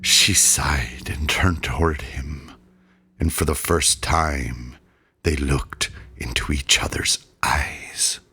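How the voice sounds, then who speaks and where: A man narrating a fantasy audiobook in a measured, dramatic way that can fall toward a breathy hush. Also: rumbling low.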